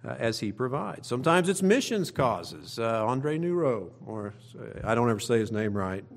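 A man's voice: mid-range voice, big pitch swings, normal speed, vocal fry. A middle-aged man with an Oklahoma accent preaching a sermon as a pastor, in a folksy, conversational way with emphatic rhetorical questions. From Oklahoma, United States. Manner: folksy, plain-spoken, conversational. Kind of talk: sermon to own congregation.

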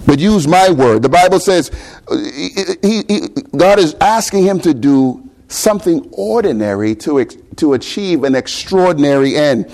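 A man's voice: a little high, lively intonation, normal speed, a little mellow. Bahamian-accented man preaching a sermon. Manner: conversational preaching with rhetorical questions and repeated words.

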